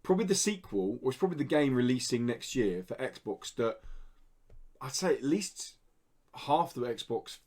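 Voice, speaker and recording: A man's voice: mid-range voice, expressive, normal speed, a little mellow. Informal, sweary male games podcaster. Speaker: podcast co-host. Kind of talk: casual chat about video games.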